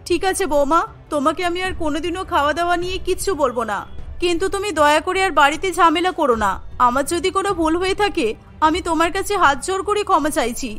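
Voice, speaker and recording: A woman's voice: very high-pitched, fast talker, a bit bright, faint music behind. A woman voicing a caring, fussing older mother-in-law in a cartoon, speaking in a motherly, pleading way. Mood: hurt, pleading.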